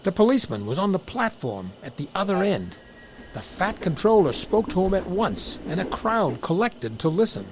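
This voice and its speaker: A man narrating a scripted children's story as an expressive storyteller. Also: high-pitched, moderate pace, noisy background.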